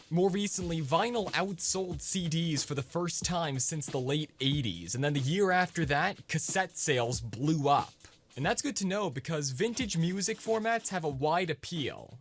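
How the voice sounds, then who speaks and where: Guy with a slightly high voice doing a chatty explainer vlog, faint music under him. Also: lively intonation, normal speed, breathy edge. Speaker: video host. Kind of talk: scripted explainer vlog with casual asides.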